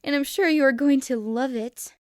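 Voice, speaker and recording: A woman's voice: high voice, normal speed, no room sound, studio quality, pitched above the performer's own voice, lightly acted. A woman voicing a gleefully sadistic robot bear mascot who taunts as it makes announcements, in an anime fan story. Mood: gleeful menace.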